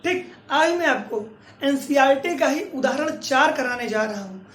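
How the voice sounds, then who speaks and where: A male math teacher giving a classroom lecture, dictating slowly and asking then answering his own questions. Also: extremely high pitch, animated, fairly bright tone. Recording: roomy echo from a distance.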